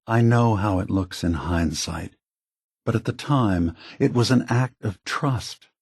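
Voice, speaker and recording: An ancient synthetic being who leads with gentle, weary idealism in a video game. The voice is husky and takes its time, halting and choosing words with earnest care. It is recorded very dry and close. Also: male voice, on the low side, no echo. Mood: regretful, resolute. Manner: measured, halting, earnest, diplomatic. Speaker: leader of a synth refuge. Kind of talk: video game character dialogue.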